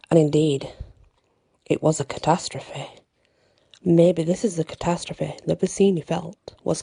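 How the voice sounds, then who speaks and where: Low-voiced woman storyteller, slightly gravelly, unhurried. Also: warm tone, no echo. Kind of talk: ASMR bedtime story narration.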